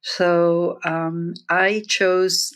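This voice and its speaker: A woman's voice: lowish voice, level tone, drawn-out words, clear, resonant tone. A middle-aged woman, a nurse leader from Puerto Rico who grew up in New Jersey, speaking reflectively as an interview guest with many fillers and restarts. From Puerto Rico, raised in New Jersey. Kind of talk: interview conversation.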